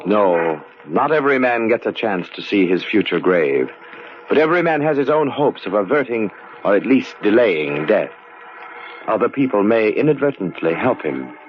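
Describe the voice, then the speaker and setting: An actor playing the ominous narrator of a radio horror tale, portentous, deliberate and theatrical. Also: male voice, lowish voice, very animated. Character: ominous, philosophizing male narrator of macabre tales. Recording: dull, lo-fi radio recording. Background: music.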